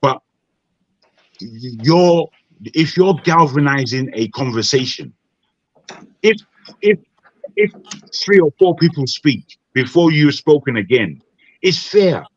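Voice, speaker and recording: A Nigerian man on an online panel, speaking in a measured, advising way with frequent restarts. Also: on the high side, lively intonation, dry recording, highs cut off.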